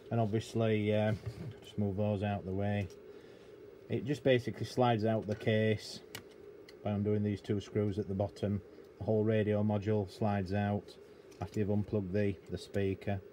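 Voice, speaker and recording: British man, middle-aged, mellow dark tone, casual technical walkthrough, matter-of-fact, noticeable room noise. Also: on the low side, steady tone, normal speed. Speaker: radio technician and hobbyist presenter. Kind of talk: unscripted hands-on demonstration, casual explanation. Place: indoor cluttered electronics workbench.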